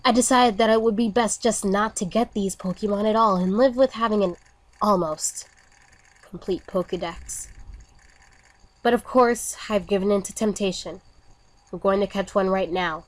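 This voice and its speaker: A woman narrating a first-person horror story in an expressive, dramatic way. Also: medium pitch, normal speed, poor audio. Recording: music under the voice. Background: music.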